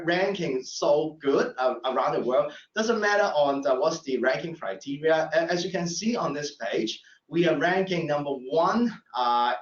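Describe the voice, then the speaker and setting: A middle-aged man, a professor giving a live admissions webinar, speaking haltingly with many fillers and restarts. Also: fairly high pitch. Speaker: professor presenting admissions talk. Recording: echoey room, distant mic, dull sound.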